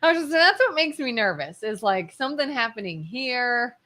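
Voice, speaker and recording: Female co-host, lively swinging pitch, bright sharp voice, laughs easily. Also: normal speed. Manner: lively, laughs often, reads viewer comments. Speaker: talk show co-host. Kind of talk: casual political talk.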